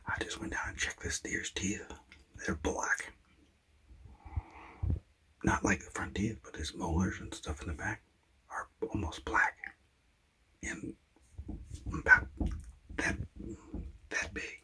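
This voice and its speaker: Male hunter vlogger, hushed breathy whisper, low voice, excited and rambling, outdoors. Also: slow pace, long pauses, rough recording. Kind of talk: whispered hunting vlog.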